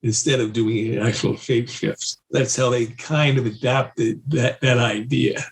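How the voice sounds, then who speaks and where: A man's voice: medium pitch, steady tone, unhurried, vocal fry, husky. A man explaining things casually as a guest on a podcast.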